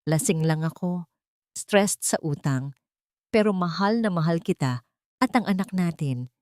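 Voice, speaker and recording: Female narrator as scheming husband, false remorse, pleading, trembling, lowish pitch, audio drama. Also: animated, measured pace, a little mellow, no echo, studio quality.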